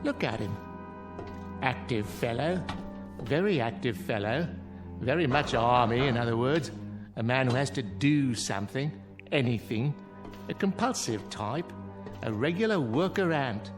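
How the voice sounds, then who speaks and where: An actor playing a sardonic, world-weary clown in a radio drama, with florid, grandly mocking delivery. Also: male voice, medium pitch, expressive, a little slow, music in the background, natural voice. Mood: taunting.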